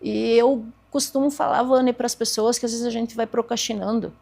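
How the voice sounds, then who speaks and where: A woman's voice: a little high, steady tone, normal speed, creaky, a little mellow. A woman in her forties, a podcast guest earnestly telling her own story and acting out past conversations. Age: 40s. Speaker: podcast guest, therapist and speaker.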